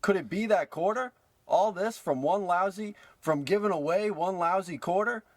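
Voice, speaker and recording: A man's voice: high voice, moderate pace, no echo. A man playing a brash, scheming small-time American huckster with slangy old-timey patter in a parody radio play. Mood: amazed, restless.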